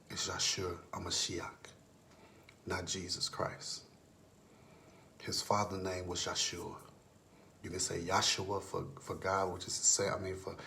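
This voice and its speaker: A man giving an earnest late-night religious monologue, often sinking into a hushed whisper, sleepless. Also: low-pitched, gravelly, slight background noise. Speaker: vlogger.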